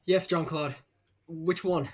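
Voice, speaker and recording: Dutiful young kitchen helper. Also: male voice, a little high, steady tone, slow, slight background noise. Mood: obedient, keen. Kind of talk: children's audio drama acting.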